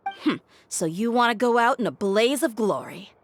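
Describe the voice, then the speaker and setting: A cocky, tough mercenary warrior. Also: female voice, on the high side, sing-song, normal speed, a little hiss or hum. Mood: taunting. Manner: blunt, cocky. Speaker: game character. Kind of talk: video game voice acting. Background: game music.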